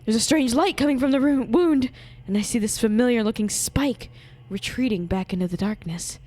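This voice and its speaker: A woman's voice: a little high, normal speed, mellow. A woman streamer doing jokey live game commentary and reading dialogue aloud theatrically. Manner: jokey, playful, theatrical, swears casually. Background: game music.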